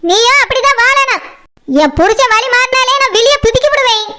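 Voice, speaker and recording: A stern village elder sister from a cartoon, played by a woman, with a piercingly high and sharp voice. She lectures and threatens in a Kanyakumari Tamil accent, like someone who expects to be obeyed. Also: brisk. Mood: angry scolding. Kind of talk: village comedy-drama cartoon dialogue.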